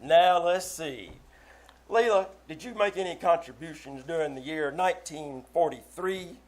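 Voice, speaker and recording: A man's voice: on the high side, moderate pace, lightly acted. A man playing a put-upon, querulous judge in 1940s radio comedy style. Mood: resigned, fussy. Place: small town theater stage. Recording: live stage recording with faint noise.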